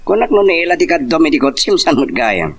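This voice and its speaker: A man with an Ilocano accent and a husky voice acting a nosy, teasing friend in an audio drama. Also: a little high, sing-song, a little fast, fairly bright tone, full character voice. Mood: scolding, teasing.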